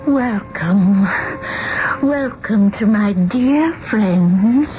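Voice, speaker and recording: An actress playing a deluded, serene older spinster bride, gracious and fragile, in a 1970s radio drama. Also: female voice, medium pitch, animated, drawn-out words, over music. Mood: dreamily welcoming. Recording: muffled, noisy old radio broadcast.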